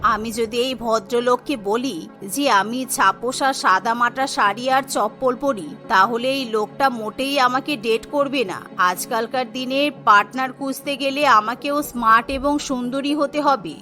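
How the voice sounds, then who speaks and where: A woman voicing a vain, secretive widowed mother-in-law in a cartoon, talking in a soft, placating way. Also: fairly high pitch, unhurried, faint music behind, pitched below the performer's own voice. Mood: scheming.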